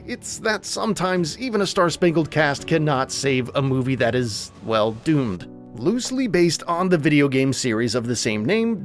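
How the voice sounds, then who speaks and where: Male narrator for scripted video countdown, animated intonation, slightly high register.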